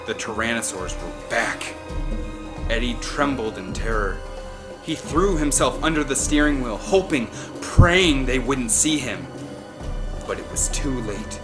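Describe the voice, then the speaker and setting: A man's voice: mid-range voice, lively intonation, unhurried. A man narrating an adventure audiobook in a dramatic, suspenseful, theatrical way. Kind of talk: dramatic scripted storytelling. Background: orchestral film soundtrack music.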